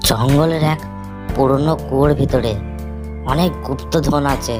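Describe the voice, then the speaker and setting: Lady doing a clever calm rabbit, kids cartoon, low voice, slow, music going behind. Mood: sly, calm. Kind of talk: children's cartoon voice acting.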